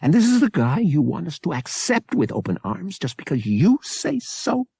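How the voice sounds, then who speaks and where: A man's voice: on the high side, huge pitch swings, moderate pace, vocal fry, dark tone, husky, dry recording, studio quality, voice pushed up, heavily acted. A man voicing an indignant, suspicious young brother who argues in an emphatic, exasperated way. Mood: accusing. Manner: emphatic, argumentative.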